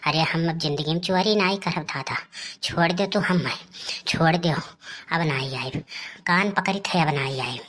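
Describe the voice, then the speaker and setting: A woman's voice: lowish voice, normal speed, close to an everyday voice. A woman playing a brazen, combative elder village sister in a comedy cartoon, earthy and blustering, with an Awadhi accent. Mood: pleading. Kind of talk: rustic comedy cartoon dialogue.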